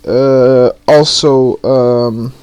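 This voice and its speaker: A man's voice: medium pitch, fairly even intonation, darkish tone, clean tone. Bosnian guy doing a gaming vid intro in english, lots of ums and uhs, normal mid voice. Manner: halting, many fillers, plain word choice. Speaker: gaming YouTuber. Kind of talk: casual intro to camera.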